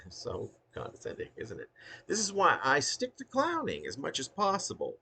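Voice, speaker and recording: A man's voice: on the high side, wildly swooping pitch, normal speed, vocal fry, bright, forceful tone, slightly rough. A man giving a dramatic, wry reading of a humorous autobiographical poem, with theatrical emphasis. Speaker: poet reading own work.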